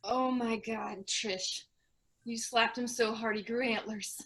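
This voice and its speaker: Bright, incredulous sardonic woman acted. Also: very high voice, big pitch swings, moderate pace, full character voice. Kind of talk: comedic audio drama acting.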